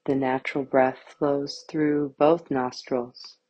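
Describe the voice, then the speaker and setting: A woman guiding a yoga nidra meditation with calm, soothing, measured scripted instructions. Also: very deep voice, low-quality recording.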